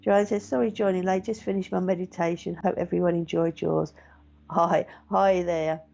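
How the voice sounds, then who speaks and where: Woman, middle-aged, gentle chatty meditation teacher, low gravelly voice, dull lo-fi audio. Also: steady tone, normal speed. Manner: gentle, chatty, reassuring, with frequent fillers. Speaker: meditation teacher and psychic medium. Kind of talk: casual live-stream chat reading viewer comments.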